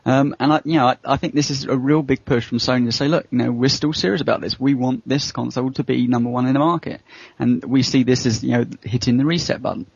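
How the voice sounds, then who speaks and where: A man talks fast in a gravelly, husky voice, explaining things informally as a panelist in a casual discussion. The recording is dry and a bit muffled. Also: medium pitch, steady tone, dry recording. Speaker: podcast panelist.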